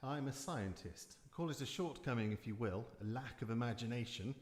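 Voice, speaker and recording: A man's voice: mid-range voice, a little fast, lightly acted. A man playing a sober, blunt but polite scientist with a precise, dry manner in a live radio drama. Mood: dry, defensive. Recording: echoey hall, live stage performance.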